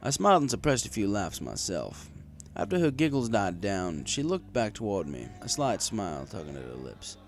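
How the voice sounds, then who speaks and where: A man narrates a first-person story as if for an audiobook. His voice is on the low side with lively, varied intonation. Soft music plays behind him. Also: normal speed. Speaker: story reader.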